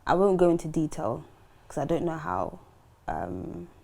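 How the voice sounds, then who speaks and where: A woman's voice: low-pitched, sing-song, measured pace, creaky, darkish tone. A woman in her twenties, born in Trinidad and Tobago, chatting as a guest, joking loosely and laughing between words. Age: 20s. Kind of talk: casual chat.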